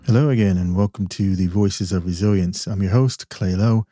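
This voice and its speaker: A man hosting a podcast who speaks earnestly and slowly, leaning hard on key words. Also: low voice, dark tone, faint music behind. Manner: earnest, slow and emphatic. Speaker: podcast host.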